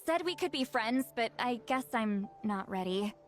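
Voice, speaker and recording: A woman voicing a gruff, lonely sorceress who has lived for centuries, talking slowly with sighs, in a video game. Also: a little high, expressive, a little hiss or hum, lightly acted. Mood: wistful. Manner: slow, sighing, reflective. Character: ancient young-looking sorceress, gruff and lonely. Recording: game audio with faint background music.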